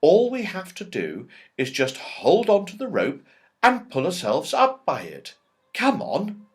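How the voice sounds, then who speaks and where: A man's voice: high-pitched, wildly swooping pitch, a little slow, voice pushed up, exaggerated voice. Man reading as an eager young storybook boy.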